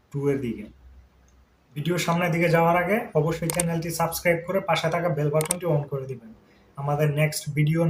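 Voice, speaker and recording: A man's voice: fairly high pitch, a bit bright. A man hosting an informal explainer, talking conversationally and mixing in English words and check-in tags. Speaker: YouTube host. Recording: echoey room, faint background noise.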